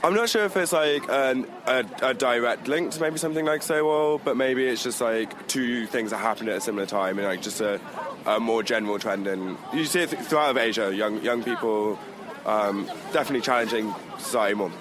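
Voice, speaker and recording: A man's voice: mid-range voice, brisk, a crowd in the background. A man from the UK, answering a street interview in a thoughtful, hedging way. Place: city street outdoors. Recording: street recording with traffic noise.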